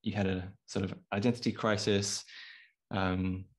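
Low, flat-voiced male interviewer. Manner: halting, many fillers and restarts. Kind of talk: hosting an interview. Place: small home room. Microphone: video call.

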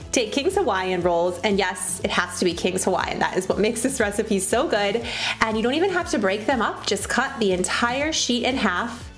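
A woman's voice: medium pitch, animated, normal speed. A woman from the Midwest in her thirties, narrating cooking steps in a cheerful, chatty voice-over. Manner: cheerful, chatty, walks through recipe steps. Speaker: cooking channel host. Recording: voice-over under loud music. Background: background music.

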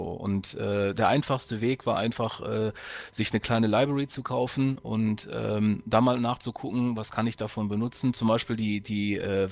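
A middle-aged man in a casual podcast chat, speaking haltingly with many hesitation sounds. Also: mid-range voice. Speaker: audio drama sound editor.

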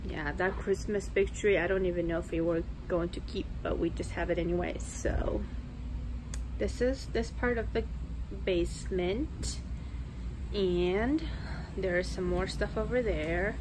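A woman in her thirties chats informally through a home vlog in an unhurried way. Her voice sits on the low side for a woman. The handheld recording in an unfinished basement carries clear background noise. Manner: informal, conversational. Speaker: family vlogger. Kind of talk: casual home tour vlog narration. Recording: clearly noisy handheld camera audio.

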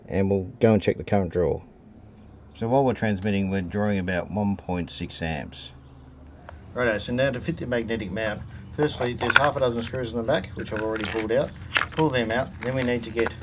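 A man's voice: fairly low pitch, a little mellow. An Australian man in his forties giving a casual, matter-of-fact DIY tutorial, speaking in a laid-back, slangy way. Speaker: host of a how-to four-wheel-driving channel. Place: outdoors in a driveway and around vehicles. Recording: noticeable background ambient noise.

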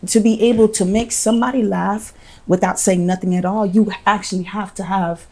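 A young woman hosting a talk show, asking curious, quick follow-up questions in a casual studio chat. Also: medium pitch, steady tone, a little mellow. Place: small decorated studio set indoors.

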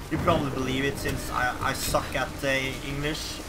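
A young Norwegian man, with Norwegian as his first language, giving casual let's play commentary in English with a Norwegian accent, swearing and reacting loudly to the game. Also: mid-range voice, level tone, moderate pace. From Norway. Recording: game sound behind, rain noise.

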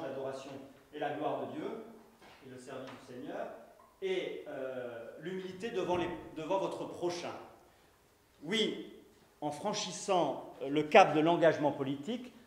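A man in his thirties giving a lecture in a library room, earnest and halting, with many restarts and repeated words. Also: on the high side, darkish tone. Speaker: conference speaker. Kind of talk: lecture talk. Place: library room with bookshelves, indoors. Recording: echoey room from a distance.

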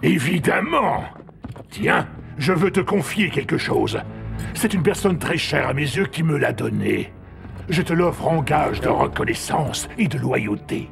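Gravelly, menacing centaur tyrant. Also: male voice, fairly high pitch, lively intonation, a little slow, heavily acted. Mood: scheming. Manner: imperious, menacing. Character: huge tyrannical horned centaur villain, menacing and scornful. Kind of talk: French-language animated cartoon dub. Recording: music behind the voice.

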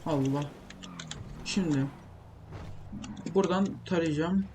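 A man's voice: on the high side, takes it slowly, a little mellow. A young man gives casual, informal gameplay commentary, rambling and thinking aloud. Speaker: gaming video creator. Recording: clearly noisy home recording, keyboard clicks. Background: typing and keyboard clicks.

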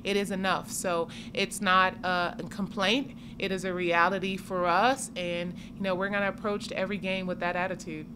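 A woman in her forties, a head coach, answering postgame questions in a measured, steady way at a table mic in a press room. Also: fairly low pitch. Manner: measured, steady, many "I mean" and "you know". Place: press conference room with sponsor backdrop. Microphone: table mic in front of her. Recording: noisy room ambience.

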